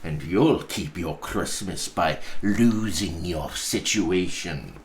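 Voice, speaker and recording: A man's voice: low-pitched, lively intonation, takes it slowly, slight background noise, full character voice. A man playing a curt, scornful old miser in a storybook reading, growling and dismissive. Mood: scornful, irritated.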